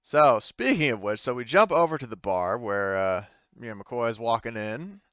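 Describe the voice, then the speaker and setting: Clear-voiced man running a tabletop game. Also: medium pitch, expressive, fairly bright tone, no room sound, highs cut off. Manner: halting, informal, easygoing. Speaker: game master. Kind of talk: casual improvised tabletop game narration.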